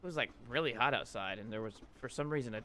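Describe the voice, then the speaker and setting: A man's voice: medium pitch, fast, sharp tone, lightly acted. A man voicing a chatty, sarcastic young woman in a comedic game fan dub, full of questioning banter. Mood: inquisitive, dry. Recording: background noise.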